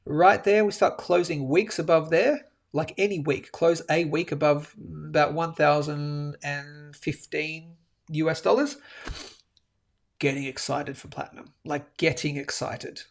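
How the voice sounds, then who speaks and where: A man hosting a live chart-analysis show, animated and a bit sniffly, tagging his points with "okay?". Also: a little high, unhurried, vocal fry. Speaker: host of a daily live precious-metals show. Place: indoors, a different room than usual.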